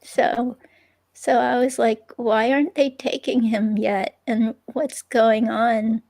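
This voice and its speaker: A woman's voice: fairly high pitch, flat delivery, takes it slowly, a little mellow. A woman reading the part of a hurt ex-girlfriend in a police interview, hesitant and rambling, in a conversational dramatic reading. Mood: conflicted, unsure. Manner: hesitant, rambling, filler-laden.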